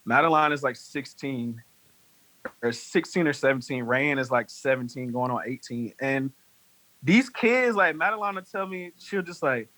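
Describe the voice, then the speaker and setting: A man in his late twenties, a podcast guest, telling stories in a rambling, animated way, full of "like". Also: mid-range voice, normal speed, poor audio. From North Carolina. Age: 20s. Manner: rambling, heavy use of "like", animated storytelling. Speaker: podcast guest, music manager. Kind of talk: casual chat.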